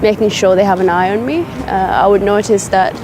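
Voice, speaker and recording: A woman's voice: medium pitch, brisk, noisy background. Thoughtful young woman. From South Sudan. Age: young adult. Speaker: interviewee. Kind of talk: documentary interview. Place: outdoors in a city park.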